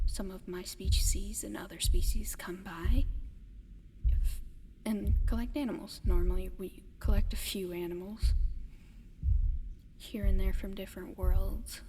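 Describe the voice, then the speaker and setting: A woman voicing a gentle giant alien in an ASMR roleplay, speaking softly and often whispering, in a hesitant, halting, explaining way. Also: mid-range voice, background noise. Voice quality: soft, breathy, often whispered. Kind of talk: ASMR roleplay addressed to the listener.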